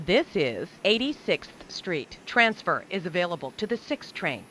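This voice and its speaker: A woman reading scripted subway train announcements in a measured, carefully enunciated way, phrase by phrase. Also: fairly low pitch, sing-song, fairly bright tone, slight background noise. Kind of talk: scripted automated transit announcements.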